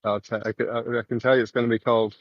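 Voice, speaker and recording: A man from New Zealand with a New Zealand accent, chatting casually on a voice call while walking outdoors, halting and full of fillers. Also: mid-range voice, flat delivery, dry recording, highs cut off. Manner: rambling, halting, many fillers.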